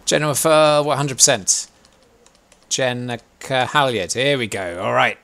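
A man's voice: mid-range voice, a little slow, vocal fry, a bit bright. Man, young adult, headset mic, chatty, laughs often, instructive. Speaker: sailing club session leader. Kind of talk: casual instructive chat while gaming.

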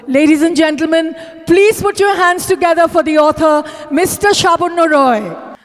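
A middle-aged woman with an Indian accent formally and emphatically announcing thanks as an event host over a microphone. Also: high voice. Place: large hotel ballroom. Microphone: handheld microphone through PA. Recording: echoey hotel ballroom PA, long reverb.

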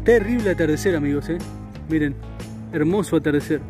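A man's voice: on the high side, fairly quick, music in the background. A young man with an Argentine Spanish accent, chatting casually to camera as a fishing vlogger on a riverbank, often tagging his sentences with "eh". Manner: chatty, upbeat, tags sentences with "eh". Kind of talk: casual outdoor fishing narration. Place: riverbank outdoors.

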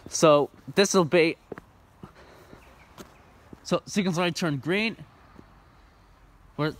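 A man's voice: on the high side, a bit bright, a little hiss or hum. A young man from Ireland filming at an outdoor train station platform on a phone, narrating casually and eagerly with lots of ums and restarts. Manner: rambling, full of ums and restarts, enthusiastic. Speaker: trainspotting vlogger. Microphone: phone camera held by the filmer.

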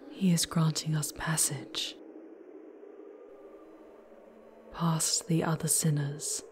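A woman playing a tender, sorrowful female demon guide in a breathy, whispered ASMR roleplay, with dramatic pauses. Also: deep voice, a little hiss or hum. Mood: gentle, awed. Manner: slow, hushed, dramatic pauses.

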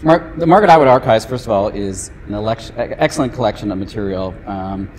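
Middle-aged male host, low voice, quick talker, librarian interviewing a guest, faint room noise. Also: a little mellow. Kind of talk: conversational interview.

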